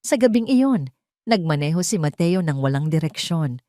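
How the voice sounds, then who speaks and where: Low-pitched woman narrating a dramatic story. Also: animated, normal speed, dark tone, no echo, clean studio sound.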